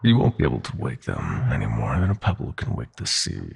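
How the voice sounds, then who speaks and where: A man playing a smug, sadistic demon prince of nightmares in an audio roleplay, with a husky, gravelly voice and a mock-polite, taunting drawl. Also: a bass voice, huge pitch swings, a little fast, full character voice. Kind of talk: audio roleplay villain acting.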